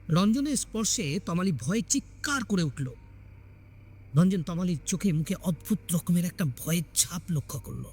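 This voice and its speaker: A man's voice: fairly high pitch, a little mellow, husky. A man reading a scripted horror story as a dramatic narrator.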